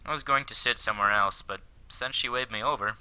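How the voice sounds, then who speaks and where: A man acts out a self-conscious, lovestruck schoolboy from an anime visual novel. His voice is very bright and forceful, sitting at a medium pitch, and he delivers his long, earnest thoughts with dramatic flair. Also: a little fast, clear, resonant tone. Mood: adoring.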